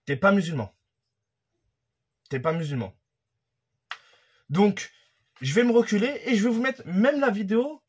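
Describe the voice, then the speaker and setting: A young man from France delivers a heated, accusing rant straight into the camera. His voice sits high for a man and comes out husky. He speaks from a room at home. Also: lively intonation, unhurried, pauses often. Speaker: YouTuber commentator. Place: room at home with a creaky wooden floor.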